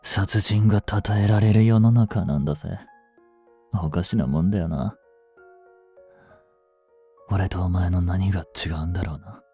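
Man acting a low, mellow grieving young policeman. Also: slightly rough. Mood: numb grief. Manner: hushed, slow, bitter, intimate murmur. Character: grieving young policeman mourning his criminal girlfriend, tender. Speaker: voice actor. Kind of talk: Japanese audio drama monologue. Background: music.

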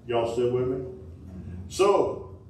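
A middle-aged man, preaching a sermon in a folksy call-and-response style, with sudden loud bursts. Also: mid-range voice, animated, takes it slowly, a little hiss or hum. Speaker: pastor. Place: small wooden-walled church sanctuary. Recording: echoey church from a distance.

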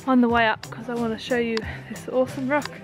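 A woman's voice: fairly high pitch, flat delivery, fast talker, soft background music. A young woman chatting casually into a handheld camera while hiking a coastal trail, a little out of breath. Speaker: travel vlogger. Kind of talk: casual travel vlog. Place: outdoors on a coastal bush trail. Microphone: handheld camera at arm's length.

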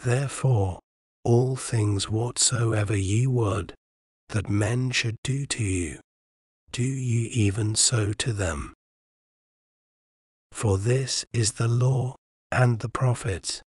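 A man reading scripture aloud in a measured, soothing bedtime manner, with long pauses between phrases. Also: mid-range voice, a little mellow, dry recording, studio quality. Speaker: scripture reader. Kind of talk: slow bedtime Bible reading.

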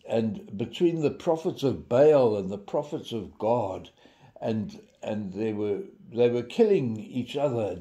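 Older man, mellow, expressive pitch, deliberate devotional storytelling. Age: 70s. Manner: measured, deliberate storyteller with dramatic emphasis. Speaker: devotional preacher. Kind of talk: informal sermon with storytelling and scripture reading.

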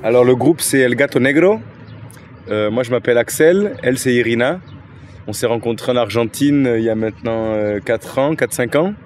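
Thirties man, relaxed halting musician interviewed outside. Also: medium pitch, over music. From Toulouse, France. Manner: relaxed, many hesitations. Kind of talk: casual interview. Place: outdoors against an old wall. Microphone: handheld mic held by interviewer.

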